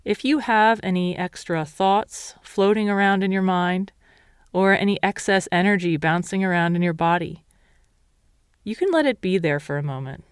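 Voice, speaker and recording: A woman meditation teacher leading a guided meditation in a calm, measured, instructional way. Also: medium pitch, clear, resonant tone, no room sound, clean studio sound.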